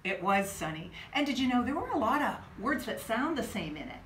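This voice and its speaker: A woman's voice: on the high side, very animated, brisk, fairly bright tone, slight background noise. A middle-aged woman hosting a children's story time, talking to kids in a teacherly way and prompting with questions. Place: indoors, living room. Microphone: camera a few feet away. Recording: echoey living room.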